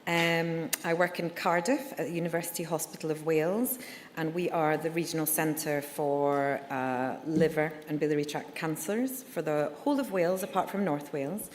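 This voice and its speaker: Low-voiced Scottish woman in a hall. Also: normal speed, slight background noise. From Scotland. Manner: candid, friendly, with frequent ums and uhs. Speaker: liver surgeon giving a conference talk. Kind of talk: conference presentation. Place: conference hall. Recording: reverberant hall.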